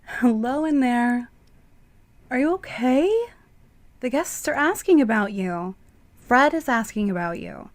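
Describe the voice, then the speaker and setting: A woman playing a concerned, gentle wife in a radio drama, speaking in a solicitous, soothing way. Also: fairly high pitch, lively intonation, unhurried, pauses often.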